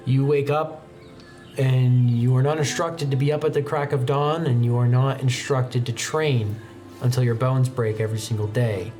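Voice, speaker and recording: A man's voice: mid-range voice, fairly even intonation, moderate pace, darkish tone, faint music behind. A man working as game master, narrating a tabletop roleplaying game.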